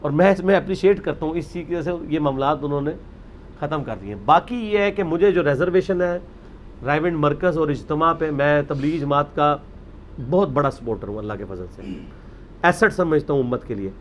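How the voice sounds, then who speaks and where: A Pakistani man with a slightly high voice speaks as a religious lecturer. He talks off the cuff, building an argument point by point and explaining as he goes, dropping English words into his speech. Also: fairly quick, a little hiss or hum. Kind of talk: informal religious lecture, extempore.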